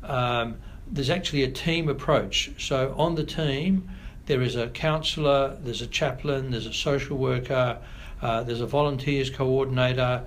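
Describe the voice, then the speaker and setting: A middle-aged Australian man, a family doctor, answering interview questions in a measured, thoughtful way with frequent pauses. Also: mid-range voice, fairly even intonation, a little hiss or hum. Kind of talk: podcast interview.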